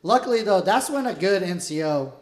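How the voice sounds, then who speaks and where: Loud, echoey man swapping military stories. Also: high-pitched, expressive, a little fast. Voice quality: loud, carrying voice. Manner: profane, slangy, blunt storytelling. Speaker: podcast host interviewing a fellow veteran. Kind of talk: casual podcast chat, military war stories.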